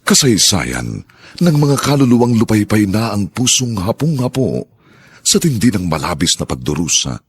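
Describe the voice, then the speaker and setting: A man with a Filipino accent hosting and narrating a radio drama in a solemn, theatrical, drawn-out style. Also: on the low side, big pitch swings, husky. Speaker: radio drama host and announcer.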